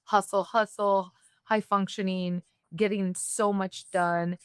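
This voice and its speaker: A woman from the United States hosting an interview, talking in a candid, reflective way with quick bursts. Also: medium pitch, little pitch movement, smooth, no room sound. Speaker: podcast host. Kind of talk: conversational interview.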